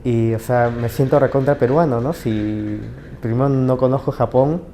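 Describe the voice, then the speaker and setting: A man's voice: mid-range voice, fairly even intonation, normal speed, warm tone, slight background noise. A Peruvian man with a Peruvian Spanish accent, speaking in an easygoing, good-humored way. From Peru. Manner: easygoing, laughs often. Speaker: interviewee. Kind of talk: relaxed personal testimony.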